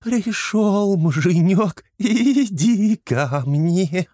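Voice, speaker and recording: A man's voice: on the high side, animated, very slow, gravelly, darkish tone, breathy edge, dry recording, studio quality, pitched above the performer's own voice, exaggerated voice. A man putting on a thin quavering swamp hag's coo. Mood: eerie cooing. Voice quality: thin, quavering, nasal cooing. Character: creepy female swamp kikimora, cooing and lewd.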